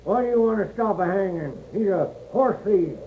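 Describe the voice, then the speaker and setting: A man's voice: sits high, lively intonation, normal speed. A man playing a wary, grudging leader of a hanging posse in a 1950s radio western. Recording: tinny, faintly noisy old radio broadcast.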